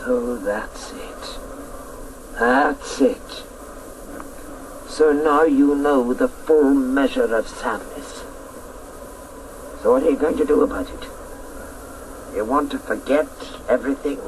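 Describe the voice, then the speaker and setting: An actor playing a stern, sorrowful guardian of a mountain crag, with slow, dramatic delivery in a radio play. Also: male voice, medium pitch, expressive. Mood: scolding, probing. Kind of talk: theatrical radio play acting. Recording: noisy old radio play recording.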